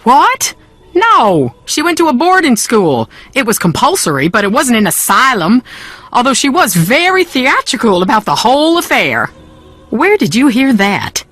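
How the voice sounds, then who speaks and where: A woman's voice: fairly high pitch, sing-song, moderate pace, fairly bright tone, no room sound, faint music behind. An actress voicing a haughty, secretive upper-class woman in a video game, curt and dismissive. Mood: icy disdain. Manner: clipped, imperious, dismissive.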